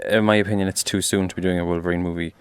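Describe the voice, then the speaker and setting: A man's voice: deep voice, little pitch movement, racing, clear, resonant tone, no room sound. A man co-hosting a podcast, giving blunt opinions in casual chat and often trailing off into mumbles. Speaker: podcast co-host.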